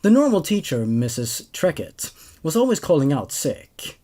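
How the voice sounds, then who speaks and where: A man in his twenties narrates creepy stories at a desk mic in a small room. His voice sits a little high and swings widely in pitch. He moves between scripted reading and casual host commentary with animated expression. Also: normal speed. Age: 20s. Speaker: host of a horror story reading show. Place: small room at home, indoors. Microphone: large condenser desk microphone.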